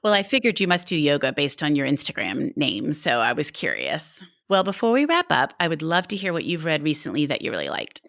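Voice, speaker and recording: A woman with a notably low-pitched voice, speaking conversationally as a podcast host. The sound is dry and close, without room reflections. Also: very animated, a little fast, few pauses, a bit bright, no echo. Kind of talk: conversational book podcast interviewing.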